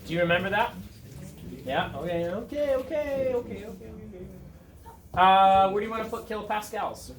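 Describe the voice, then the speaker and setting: Male teacher, thirties, dry near-monotone delivery with a slightly high voice, recorded from the back of an echoey classroom. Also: normal speed, long pauses. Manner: dry, self-described monotone, asks students questions. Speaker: chemistry teacher. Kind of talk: classroom review lesson. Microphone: far camera at the back of the room. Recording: roomy classroom sound from a distance with students around.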